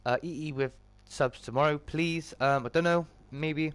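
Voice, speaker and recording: Slightly croaky British man chatting on stream. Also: a little high, normal speed. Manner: chummy greetings to viewers. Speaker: live streamer and host. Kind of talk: casual livestream chat with viewers.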